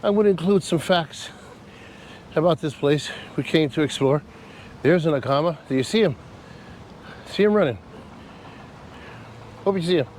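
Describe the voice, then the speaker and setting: A man's voice: fairly high pitch, lively intonation, moderate pace, pauses often, darkish tone, slight background noise. A man with a nasal voice from a cold, chatting casually and laughing at himself while walking through an outdoor park for his travel vlog. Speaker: travel vlogger. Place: outdoor park by a causeway bridge.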